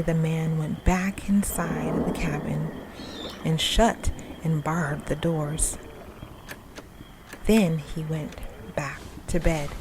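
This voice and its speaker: A woman storyteller narrating a ghost story in a suspenseful, expressive, dramatic style. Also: low voice, slight background noise, poor audio. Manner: suspenseful, expressive, dropping to breathy hush. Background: music.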